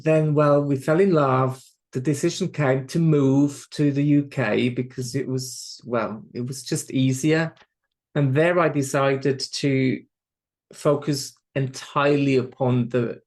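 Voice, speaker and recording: A man with a Swiss accent speaks in a thoughtful, halting way as a guest on a podcast, often repeating a word before finding the next. His voice sits at an everyday middle pitch. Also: fairly even intonation. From Switzerland. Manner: halting, repeats words, reflective. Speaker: medium. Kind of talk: podcast interview.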